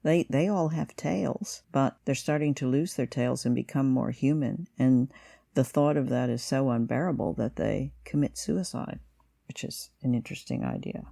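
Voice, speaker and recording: Woman podcast host, gravelly fry, mellow and dry sound, hesitant casual chat, soft music behind. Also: rumbling low, normal speed, no room sound. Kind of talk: casual discussion of old radio drama. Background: faint music.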